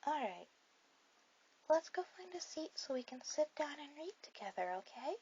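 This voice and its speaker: A woman voicing a shy, sweet, bookish girl on a first date in an ASMR roleplay, speaking softly and hesitantly to the listener. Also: high voice, very animated, highs cut off. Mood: touched, eager. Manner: soft, hesitant, halting delivery.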